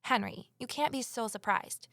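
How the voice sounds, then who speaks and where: A determined amateur sleuth woman in an audio drama. Her voice sits fairly high and is recorded close and dry, with an earnest, persuasive way of speaking. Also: normal speed, vocal fry, bright, forceful tone, dry recording. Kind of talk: audio drama acting and first-person narration.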